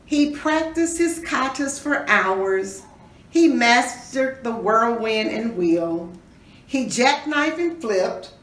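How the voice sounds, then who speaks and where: A woman reading a children's story aloud in an expressive, teacherly way, pausing to put questions to her listeners. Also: fairly high pitch. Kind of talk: dramatic read-aloud with questions to young listeners. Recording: roomy echo, distant mic, faint noise.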